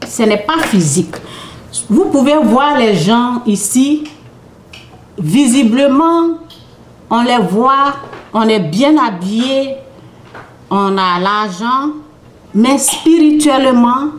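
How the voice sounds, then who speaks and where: A woman's voice: fairly high pitch, lively intonation, slow pace, long pauses, slight background noise. A woman talks through a spiritual lesson in an informal, unscripted way. She often checks that her listeners follow and repeats her phrases as she goes. Her voice rings around a roomy space with noticeable echo. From Côte d'Ivoire. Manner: restarts and repeats phrases, speaking off the cuff. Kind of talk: informal spiritual teaching to an audience.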